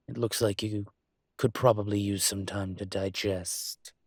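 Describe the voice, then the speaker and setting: Man playing a low, husky dragon crime boss. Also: no room sound, clean studio sound. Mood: teasing, tender. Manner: measured, deliberate, teasing, with dramatic pauses. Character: male dragon shifter mafia boss, teasing, smug, protective. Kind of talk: slow intimate roleplay audio acting.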